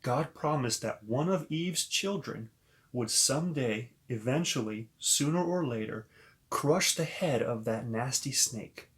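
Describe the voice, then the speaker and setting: A man with a mid-range voice reads a children's story aloud. He is expressive and speaks straight to young listeners, stepping out of the text to point things out and ask them questions. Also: medium pitch, a little slow. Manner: expressive, talks directly to children. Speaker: pastor and story reader.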